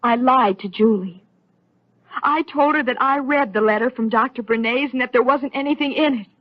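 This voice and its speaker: On a muffled old radio recording, an actress plays an anxious, guilt-ridden wife. She speaks high and urgently, fretting in melodramatic soap style. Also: normal speed, natural voice. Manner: urgent, fretful. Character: anxious, conscience-stricken doctor's wife Nina. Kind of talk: 1930s radio soap opera acting.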